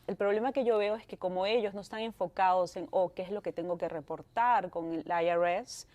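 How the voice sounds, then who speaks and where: A woman's voice: on the low side, a little fast, darkish tone. A woman in her thirties from Peru with a Peruvian Spanish accent, a lawyer answering interview questions in a chatty way and slipping in English words. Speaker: guest lawyer.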